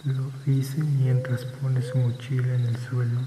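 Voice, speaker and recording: A man narrating a scripted second-person ASMR story in a restrained, measured way. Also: mid-range voice, little pitch movement. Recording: reverberant, noisy with insect ambience. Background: crickets and birds, night ambience.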